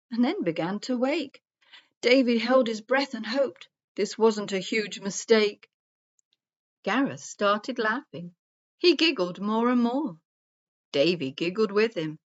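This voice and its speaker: A woman storyteller reading a rhyming children's story aloud in a measured, singsong rhythm. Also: mid-range voice, highs cut off.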